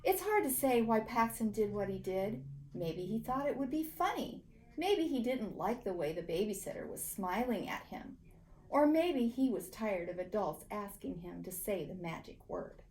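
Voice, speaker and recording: A woman reading a children's picture book aloud with a theatrical, deliberate delivery. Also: mid-range voice, sing-song, darkish tone, low-quality recording. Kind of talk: expressive children's story narration.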